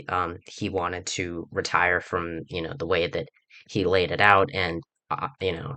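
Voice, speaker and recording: A young man with a very low yet bright, forceful voice talks through politics in a loose, rambling way, full of fillers and restarts. He sounds groggy after a recent illness. The recording is dry and close, with no room sound. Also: no echo. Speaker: political channel host. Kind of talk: casual political commentary video.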